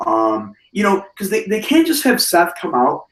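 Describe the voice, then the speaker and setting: Man, hoarse, slightly high voice, animated rambling talk, casual wrestling chat, echoey room, far from the mic. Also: moderate pace. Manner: animated, rambling, many fillers and restarts. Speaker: wrestling podcast host.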